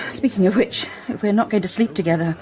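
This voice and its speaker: A woman's voice: medium pitch, a little fast, a faint crowd, close to an everyday voice, low-quality recording. A woman acting in a radio drama as a frank, flirtatious middle-aged socialite with an arch manner. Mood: wry, resigned. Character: seductive, worldly married socialite, frank and predatory.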